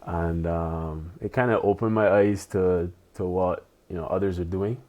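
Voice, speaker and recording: A man in his twenties from Barbados, a pro tennis player chatting as a podcast guest, relaxed and loose with lots of "you know". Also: sits low, measured pace. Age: 20s. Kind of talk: casual interview chat.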